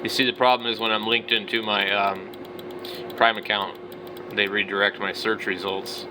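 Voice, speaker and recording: Casting: middle-aged male hobby vlogger, lowish voice, blunt, off-the-cuff delivery, clearly noisy recording. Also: flat delivery, moderate pace. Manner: blunt, opinionated, mildly slangy. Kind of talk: casual, unscripted product browsing commentary.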